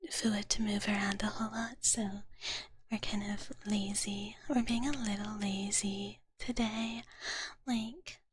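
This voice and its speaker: A woman whispering softly and breathily in a gentle, doting big-sister manner, chatting as an ASMR livestreamer close to the microphone and often repeating her words. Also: mid-range voice, fairly even intonation, unhurried, husky. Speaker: ASMR streamer. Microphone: close microphone, dry sound.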